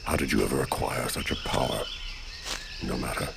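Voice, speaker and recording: A man voicing a haughty, menacing ancient oracle in a video game, gravelly and pompous, with slow theatrical delivery. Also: noisy background, full character voice. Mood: menacing, gloating. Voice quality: gravelly, very deep. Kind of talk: video game villain acting.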